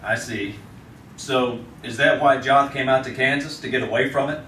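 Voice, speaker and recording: Echoey man playing a steady Old West lawman. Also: mid-range voice, moderate pace, natural voice. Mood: calm. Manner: plain, firm, matter-of-fact. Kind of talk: old-time radio drama acting on stage. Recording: echoey live stage, distant, faint noise.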